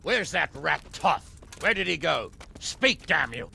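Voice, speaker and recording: An actor playing a gruff, blunt, hot-tempered medieval lord in a video game, giving orders to his soldiers. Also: fairly high pitch, big pitch swings, slow pace, pushed and bright, slight background noise, exaggerated voice. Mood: angry, demanding. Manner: blunt, commanding, coarse-mouthed. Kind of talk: dramatic video game acting.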